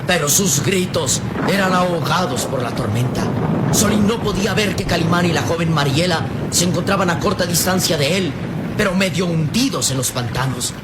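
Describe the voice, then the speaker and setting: A man narrating a radio serial in a solemn, measured, suspenseful and dramatic scripted style. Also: fairly high pitch, heavy background noise.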